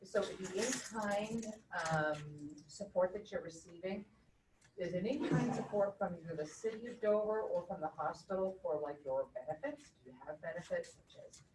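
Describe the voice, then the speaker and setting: Loud low-voiced woman in an echoey room. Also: normal speed. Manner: loud, with many fillers and restarts. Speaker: meeting participant from higher education. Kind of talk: spoken comment in a group discussion. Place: meeting room. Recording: echoey room, far from mic, faint hum.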